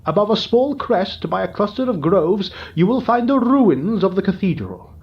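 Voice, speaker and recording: A man's voice: high voice, very animated, moderate pace, voice pushed up. A man voicing a lovesick old townsman groaning about his gout, with a theatrical, fawning manner, in an audio drama. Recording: dull lo-fi recording.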